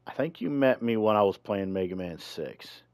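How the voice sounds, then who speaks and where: A man's voice: on the low side, expressive, moderate pace, a little mellow, clear, resonant tone, no echo, studio quality. A man co-hosting a podcast, chatting casually and informally with a friend, with some swearing. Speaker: podcast co-host. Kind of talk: casual rambling chat with a friend.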